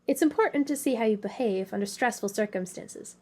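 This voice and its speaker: A woman's voice: on the high side, fairly quick, darkish tone, close to an everyday voice. A woman playing a polite, encouraging young guide to gifted children in an audio drama, speaking in formal phrases. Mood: apologetic, explaining.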